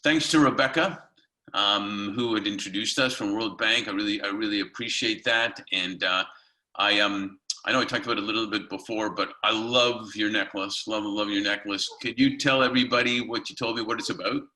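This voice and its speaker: A man's voice: fairly low pitch, steady tone, brisk, bright, forceful tone, poor audio. A middle-aged man hosting an interview over a video call from home, hesitant with many ums and repeats. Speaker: interview host. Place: home room.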